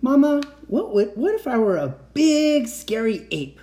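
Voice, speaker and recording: A small boy from a bedtime storybook, curious and insistent, his voice lifted high and swinging up and down as he pleads his questions, put on by a man. The tone stays soft and mellow underneath. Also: very animated, a little slow, full character voice. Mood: pleading.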